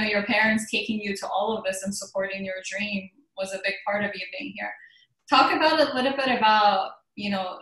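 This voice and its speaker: A woman's voice: mid-range voice, fairly bright tone, echoey room, rough recording. A woman hosting a podcast who asks long, rambling questions full of fillers. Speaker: podcast host. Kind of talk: casual interview questions.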